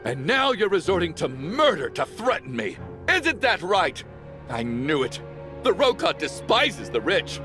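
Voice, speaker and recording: High-pitched, melodramatic rich man. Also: very animated, unhurried, exaggerated voice. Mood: outraged. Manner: melodramatic, indignant. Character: frantic, accusatory wealthy man in a murder mystery. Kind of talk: theatrical game voice acting. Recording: loud background music.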